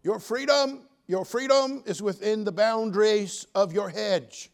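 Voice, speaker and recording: This is an older man, in his seventies, with a noticeably high-pitched voice. He preaches like a folksy Baptist pulpit veteran. He pushes hard on key phrases, then drops into quieter, joking asides. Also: a little slow, gravelly. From United States. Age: 70s. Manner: folksy, emphatic, swinging from loud exhortation to quiet joking asides. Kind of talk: sermon.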